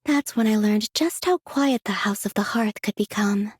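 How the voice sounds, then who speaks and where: An actress voicing a gentle, aloof young woman in a video game, with a soft breathy voice and unhurried, wistful, quietly curious delivery. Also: medium pitch, no room sound, clean studio sound, lightly acted. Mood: innocent curiosity. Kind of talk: scripted game character voice lines.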